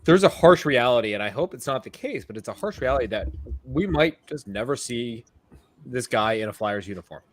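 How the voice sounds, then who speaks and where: A man co-hosting a sports podcast, talking in an animated way and leaning hard on filler words. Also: medium pitch, fairly quick, a little hiss or hum. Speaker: podcast co-host. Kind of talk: casual sports chat.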